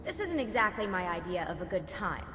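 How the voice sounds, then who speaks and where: A woman's voice: fairly low pitch, very animated, a little fast, big-room sound, slight background noise. An actress voicing a level-headed, wry young woman on a covert team in a video game cutscene. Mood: wry, focused. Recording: loud game soundtrack under the voice.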